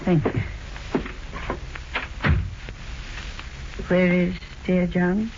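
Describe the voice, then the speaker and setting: An actor playing a suave elderly blackmailer with mannered, falsely polite speech in an old radio mystery. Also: male voice, sits high, level tone, measured pace, darkish tone. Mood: courteous, insinuating. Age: older. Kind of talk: 1940s radio mystery acting. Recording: noisy, dull vintage radio recording.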